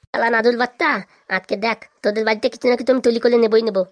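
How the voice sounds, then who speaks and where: A woman's voice: fairly high pitch, fairly even intonation, quick pace, no echo, lightly acted. A woman voicing a mischievous, scheming boy in a cartoon, with a sly, blustering, slangy manner. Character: scheming, mischievous boy, petty thief and braggart. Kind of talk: cartoon voice acting.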